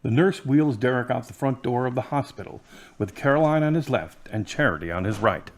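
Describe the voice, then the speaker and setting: A man's voice: medium pitch, lively intonation, brisk. Man narrating plainly. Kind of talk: scripted narration.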